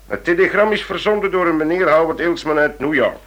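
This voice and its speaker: An actor playing a self-assured British investigator in a radio drama, recounting a case with eager, deliberate relish. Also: male voice, on the high side, a bit bright, close to an everyday voice. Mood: eager, teasing.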